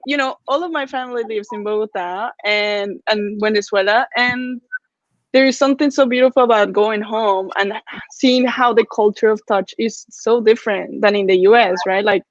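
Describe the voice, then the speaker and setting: A woman's voice: medium pitch, normal speed, no echo, lo-fi sound. A woman from Colombia, a guest artist speaking thoughtfully in a casual video-call interview.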